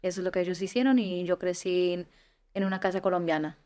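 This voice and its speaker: A woman's voice: fairly low pitch, level tone, fast, mellow. Young woman, hesitant interview guest. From Israel. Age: 20s. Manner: hesitant, many fillers and restarts. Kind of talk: casual interview.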